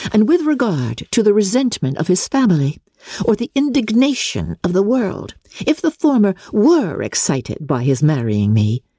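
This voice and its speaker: Casting: composed but defiant young lady of a classic storybook read by a woman, dignified, mellow tone, lively intonation. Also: low-pitched, breathy edge, dry recording, clean studio sound, heavily acted. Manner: firm, formal, dignified. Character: resolute, resentful young gentlewoman, composed and defiant. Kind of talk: dramatic classic novel reading.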